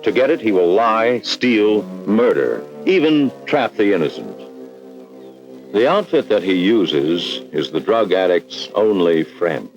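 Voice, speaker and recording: Male American narrator, very low and highly modulated, ominous and emphatic, for a vintage cautionary documentary. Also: sing-song, slow, highs cut off. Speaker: narrator of an educational film. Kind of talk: dramatic scripted 1960s documentary narration. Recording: vintage 1960s film soundtrack. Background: dramatic background music.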